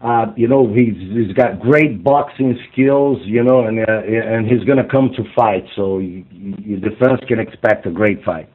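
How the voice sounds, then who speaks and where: Guy translating on a conference call, kinda deep, stop-start, thin phone sound. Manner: halting, repetitive phrasing with filler phrases. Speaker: interpreter. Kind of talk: live interpreting on a conference call. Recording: muffled narrow-band phone line.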